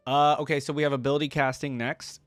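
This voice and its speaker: A man's voice: fairly high pitch, level tone, fast talker, no room sound. A man hosting a reaction video, commenting casually in an easygoing, amused way.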